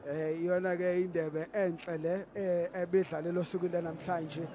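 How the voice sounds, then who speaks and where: A man's voice: high voice, level tone, fairly quick, background noise. A man commentating on a match in Zulu, stammering and repeating syllables as he goes.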